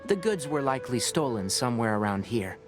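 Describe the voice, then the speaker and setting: An actor playing a calm, scholarly young forest ranger in a video game, measured and patient as he explains. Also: mid-range voice, music in the background, lightly acted. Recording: game audio with clear ambience.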